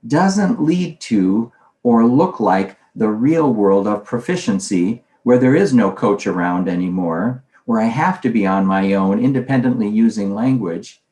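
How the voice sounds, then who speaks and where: A man's voice: medium pitch, a little mellow. Man lecturing in a roomy space. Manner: conversational, asks himself questions aloud. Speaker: conference speaker on language teaching. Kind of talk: recorded presentation lecture. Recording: roomy echo.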